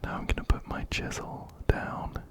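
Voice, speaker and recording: A man whispering breathily and gently, very close to a binaural microphone, as he narrates a game and reads its text aloud. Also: normal speed. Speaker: ASMR video host. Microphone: close-up binaural microphone, ear to ear.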